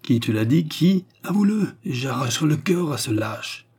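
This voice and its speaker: A man's voice: medium pitch, moderate pace, mellow, breathy edge, natural voice. A man voicing a blind, brutal commissar in a story, vengeful, domineering and exclamatory, with sneers and rage. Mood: enraged.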